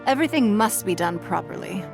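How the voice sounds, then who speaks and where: A woman's voice: medium pitch, expressive, fairly quick, vocal fry. A woman playing a dignified, gracious lady leader in a video game, speaking in a formal, composed and authoritative manner. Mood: commanding. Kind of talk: anime-style video game voice acting. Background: music.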